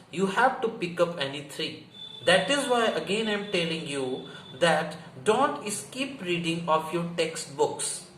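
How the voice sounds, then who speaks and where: A man's voice: high voice, a bit bright. A man with an Indian accent teaching an exam prep lesson, explaining emphatically and didactically, often repeating key phrases and asking rhetorical questions. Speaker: history teacher. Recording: echoey room, faint background noise.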